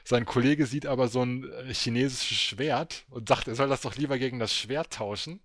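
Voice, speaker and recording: A man's voice: mid-range voice, normal speed, a bit bright, no echo, clean studio sound. A man talking in a casual, colloquial and slangy way, like a podcast co-host recommending something.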